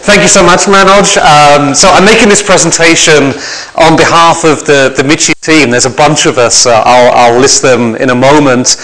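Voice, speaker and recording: A man's voice: a little high, steady tone, moderate pace, fairly bright tone. A man giving an academic presentation to an audience in a lecture hall, speaking conversationally with frequent restarts and repeated words. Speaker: visiting lecturer.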